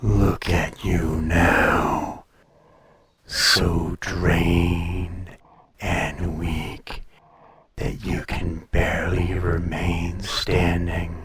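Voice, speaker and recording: Ancient dragon played by a man for audio roleplay: low, level delivery, haughty and teasing, condescending to mortals, lingering on phrases. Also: flat delivery, extremely slow, very noisy. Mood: condescending, menacing. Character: ancient, proud male dragon in humanlike form, condescending, teasing. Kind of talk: audio roleplay acting, intimate monologue addressed to listener.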